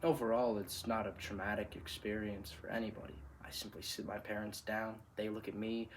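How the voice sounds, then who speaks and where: A man performing a dramatic monologue as a wounded, quietly bitter son, speaking in a subdued, earnest and deliberate way. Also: fairly low pitch, flat delivery, slight background noise, natural voice, low-quality recording.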